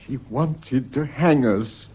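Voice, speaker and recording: An actor playing an embittered, anguished widowed gentleman in a radio drama, with an intense dramatic delivery. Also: medium pitch, slow, slightly rough. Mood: bitter. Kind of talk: British radio drama acting. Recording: dull muffled lo-fi audio.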